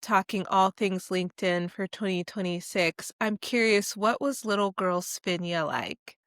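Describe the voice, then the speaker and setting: Slow, smooth-voiced woman podcast host. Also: medium pitch, a bit bright, no echo, clean studio sound. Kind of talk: casual interview chat.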